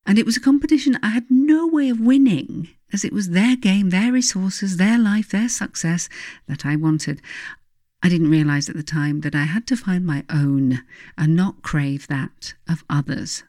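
A woman reading her own spiritual self-help book as scripted narration, speaking deliberately and earnestly straight to the listener. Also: lowish voice, dark tone, no echo, studio quality.

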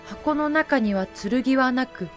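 A woman reading scripted, explanatory narration for a historical audio drama in a measured way. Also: high voice, faint music behind.

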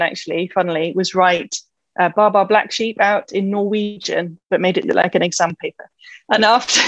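A woman's voice: medium pitch, no room sound, rough recording. A woman talking enthusiastically in a casual conversation about languages, with lots of "you know" fillers.

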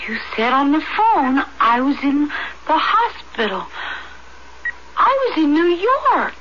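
A woman's voice: sits high, big pitch swings, takes it slowly, full character voice. An actress playing a dazed, wistful and trusting young woman in hospital, in an old-fashioned radio drama. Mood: dazed, confused. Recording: dull, very noisy radio broadcast tape.

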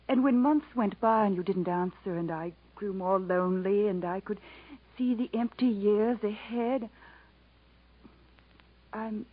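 An actress playing a genteel, remorseful old sweetheart in a 1950s radio drama, hesitant and emotional, with a breathy, husky voice. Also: female voice, mid-range voice. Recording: tinny 1950s radio broadcast recording.

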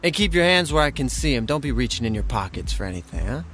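An actor playing a menacing, mocking debt-collecting thug in a screen drama, taunting and intimidating. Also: male voice, medium pitch, big pitch swings, fast, fairly bright tone, faint music behind. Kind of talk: naturalistic screen drama acting.

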